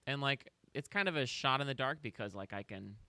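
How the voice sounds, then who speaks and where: A man's voice: medium pitch, animated, brisk, sharp tone, clear, resonant tone, no echo. A man from Kansas City, a podcast guest, telling his story in a chatty, wry way with self-deprecating jokes. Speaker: guest, real estate agent. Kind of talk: casual podcast chat.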